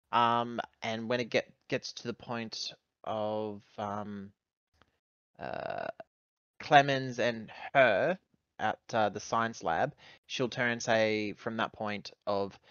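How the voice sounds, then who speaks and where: A man playing a roleplaying game, haltingly describing what his character does. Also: fairly low pitch, a bit bright, smooth, no room sound, muffled recording. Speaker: tabletop game player.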